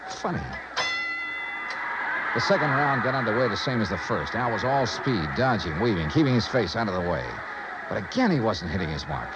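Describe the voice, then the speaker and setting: An actor playing a brisk, hard-boiled investigator, narrating in clipped, wry 1950s radio noir style. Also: male voice, medium pitch, close to an everyday voice. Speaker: lead detective and narrator. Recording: muffled, noisy old radio recording.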